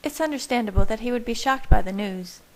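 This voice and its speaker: A woman plays a motherly vampire in an audiobook. The pitch is fairly high. The delivery is tender and soothing. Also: quick pace, close to an everyday voice, rough recording. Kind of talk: audiobook character voice.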